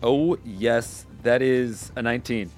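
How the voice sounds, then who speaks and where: A man's voice: mid-range voice, takes it slowly, soft background music. A man playing a tabletop game, bantering casually with joking remarks and mild swearing. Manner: joking, mildly profane.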